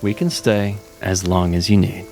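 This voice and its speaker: A man playing a gentle, earnest mage mentor in a fantasy audio drama, speaking in a measured, reassuring way. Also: low-pitched, steady tone. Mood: soothing. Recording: music and rain behind the voice. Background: music, rain.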